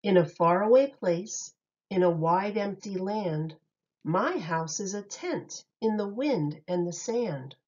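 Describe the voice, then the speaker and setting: Woman reading to children, slow and very expressive. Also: mid-range voice, highs cut off. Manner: sing-song, expressive, clearly phrased for young children. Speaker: teacher reading a picture book aloud. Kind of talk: read-aloud of a rhyming children's story.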